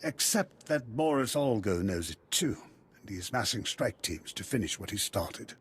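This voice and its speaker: A husky male voice for a video game military commander. He is measured and dutiful, speaking with a diplomat's care. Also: lowish voice, very animated, full character voice. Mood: earnest, grave.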